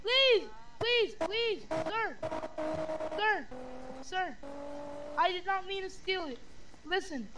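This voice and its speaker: A woman's extremely high voice comes from off the microphone through noisy game voice chat. She pleads slowly as part of improvised roleplay. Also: expressive.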